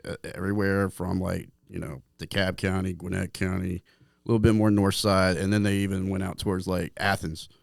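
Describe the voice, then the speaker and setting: A man's voice: low voice, little pitch movement, brisk, gravelly, no echo. A man from Atlanta, a guest musician, telling stories in a joking, rambling way full of fillers. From Atlanta, Georgia, USA. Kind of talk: casual interview chat.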